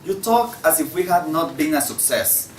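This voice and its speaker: A man's voice: on the high side, lively intonation, moderate pace, natural voice. A man reading the part of an argumentative, wry magazine writer in a stage play table read. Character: argumentative male magazine writer defending poetry. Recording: distant echoey room, faint noise.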